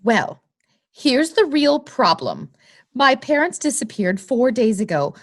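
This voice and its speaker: A woman's voice: on the high side, sing-song, slow, full character voice. An actress playing a prim, earnest young woman in a comic noir play, speaking precisely and insistently like a schoolteacher. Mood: earnest, worried. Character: prim, earnest young woman client, a former teacher turned lawyer.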